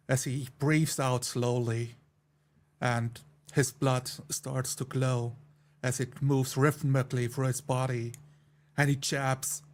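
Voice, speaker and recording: Slow, even-toned man roleplaying. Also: mid-range voice. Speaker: tabletop game player. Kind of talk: deliberate narration of his character's actions.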